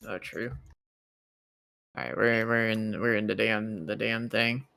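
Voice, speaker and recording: A man's voice: on the low side, steady tone, a little slow, gravelly, pushed and bright, clear, resonant tone, no echo, studio quality. A man chatting casually as a player in a tabletop game, slangy and swearing freely.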